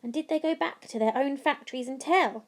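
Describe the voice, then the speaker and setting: Woman as a high-pitched, curious little boy. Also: fairly quick. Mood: eager, curious. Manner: eager, questioning. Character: curious, earnest little boy hanging on every word.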